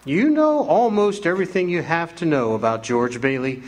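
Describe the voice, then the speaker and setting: A man's voice: a little high, animated, moderate pace, a little mellow, lightly acted. A man playing a kindly senior angel who narrates the story in an amateur stage radio drama, in a folksy storytelling manner.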